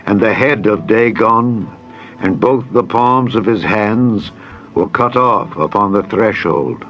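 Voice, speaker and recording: A man narrating scripture in a dramatized style, with solemn, measured, deliberate phrasing. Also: lowish voice, fairly bright tone. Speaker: Bible narrator. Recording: dull lo-fi audio with music. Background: music bed.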